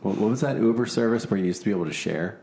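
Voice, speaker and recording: A man's voice: on the low side, very fast, mellow. A man in crude, casual comic banter, sardonic and given to acting out mocking quotes, recorded in a room. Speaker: podcast host. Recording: roomy echo, faint background noise.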